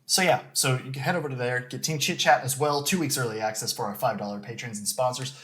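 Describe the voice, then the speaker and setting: A young adult man hosting a podcast on a clip-on mic, chatting casually and rattling off sponsor reads. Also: medium pitch. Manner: animated, quick sponsor reads. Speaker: podcast host. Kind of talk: casual live-stream chat. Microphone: clip-on lapel mic.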